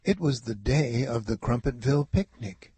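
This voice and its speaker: A man's voice: medium pitch, lively intonation, normal speed. A man narrating a children's story as a read-aloud. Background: music.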